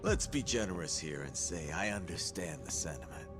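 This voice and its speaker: A scheming, middle-aged yakuza boss in a video game cutscene, slow to needle and quick to mock. His voice sits on the low side and carries a sly edge. Game music plays clearly behind him. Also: lively intonation. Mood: reminiscing. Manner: sly, mocking storyteller.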